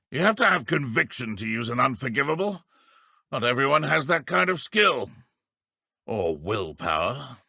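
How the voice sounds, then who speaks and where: An actor playing a smug, cold-blooded dark wizard henchman in a video game, with a British accent and a haughty, menacing manner. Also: mid-range voice, vocal fry, no room sound, studio quality, exaggerated voice. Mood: cold, boastful. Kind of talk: video game character voice lines.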